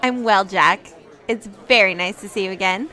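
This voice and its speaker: A woman's voice: mid-range voice, moderate pace, sharp tone, close to an everyday voice. A woman playing a vain, poised celebrity superhero being interviewed in an audio drama, diplomatic and condescending. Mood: smug. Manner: smooth diplomatic condescension, easily flustered. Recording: crowd murmur behind.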